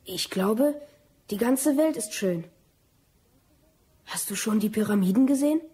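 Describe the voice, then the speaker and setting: A woman's voice: mid-range voice, expressive, a little slow, warm tone, husky. A woman playing a dreamy, curious small child in a radio drama, naive and full of wonder. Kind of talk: German radio play acting.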